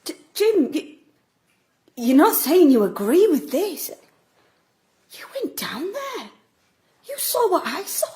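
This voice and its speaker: A woman's voice: very high-pitched, very animated, slow, pauses often, a little mellow, heavily acted. A woman playing a passionate, combative middle-aged local campaigner in an audio drama. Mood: hushed, pleading. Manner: combative, sarcastic, emphatic. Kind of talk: stage-like audio drama acting.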